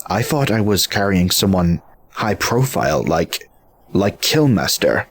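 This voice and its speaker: A man's voice: sits low, very animated, a little slow, slight background noise, heavily acted. A man playing an earnest, plain-spoken robot squad leader in an audio drama. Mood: curious, earnest. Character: earnest, principled robot hero leading a squad.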